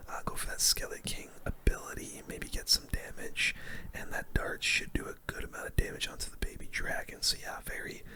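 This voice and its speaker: An ASMR gaming host giving casual play-by-play commentary in a hushed, breathy, unvoiced whisper with gamer slang. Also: a little fast, few pauses. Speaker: gaming video host playing a card battle game.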